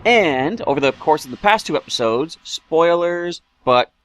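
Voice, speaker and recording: Chatty man with lively pitch. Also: unhurried. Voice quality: slightly raspy. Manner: chatty, rambling asides. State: throat-clearing, coughing. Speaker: let's play host. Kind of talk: casual game commentary.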